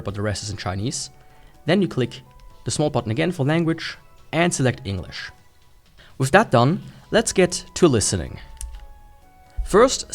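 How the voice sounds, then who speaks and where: A man gives a scripted tech review in an explanatory, plain-spoken way. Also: mid-range voice.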